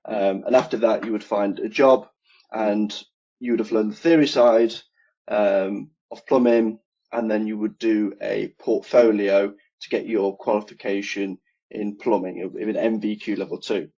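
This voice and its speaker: Midlands english man, low voice, relaxed detailed explainer, lo-fi video call sound. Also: measured pace. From England. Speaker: guest, air conditioning engineer. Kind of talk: casual interview conversation. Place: home room, video call. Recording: dull lo-fi video call audio.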